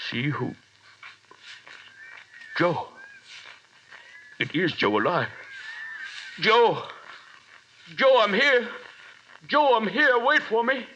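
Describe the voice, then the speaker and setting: A man's voice: medium pitch, background noise. An actor playing a lone man hallucinating as a giant spider closes in, in a breathless first-person monologue from an old radio horror drama. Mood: frantic. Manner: breathless first-person monologue, panic turning eerily calm. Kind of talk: 1930s radio horror acting. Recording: dull vintage radio recording.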